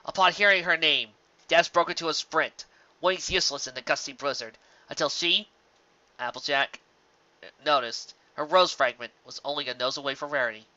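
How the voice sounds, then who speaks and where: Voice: mid-range voice, normal speed, bright, forceful tone, no echo. A narrator reading fan fiction aloud on a dull, close recording.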